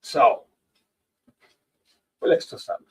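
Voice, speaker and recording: A man from America, hosting a panel conversation among rabbis in a halting, word-repeating way. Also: distant mic, rough recording. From Latin America. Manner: halting, repeats words, animated pitch. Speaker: host, rabbi.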